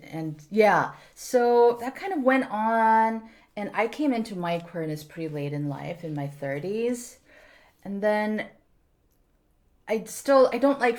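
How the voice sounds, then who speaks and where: A woman in her thirties from South Korea, a native Korean speaker, chatting reflectively as a podcast guest. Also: medium pitch. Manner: reflective, animated, sprinkles in Korean words. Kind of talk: casual podcast chat.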